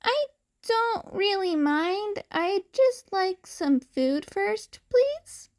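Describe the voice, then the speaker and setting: A woman's voice: very high voice, expressive, extremely slow, pitched above the performer's own voice, full character voice. A woman voicing a hungry cat-boy child, sweetly pleading and cheeky, in a fan fiction audio story. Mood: blithely pleading.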